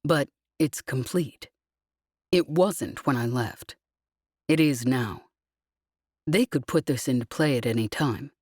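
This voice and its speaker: A woman voicing a calm, grave, scholarly male ex-convict with a distant, deliberate way of talking, in an audiobook. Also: a bass voice, slightly rough, no echo, clean studio sound, pitched below the performer's own voice, exaggerated voice.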